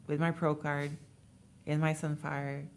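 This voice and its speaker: Low-voiced woman in her 20s, chatty guest, lots of ums. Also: little pitch movement, measured pace. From Montreal, Canada. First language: French. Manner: chatty storytelling with many fillers. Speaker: interview guest, bikini competitor. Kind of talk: casual interview talk.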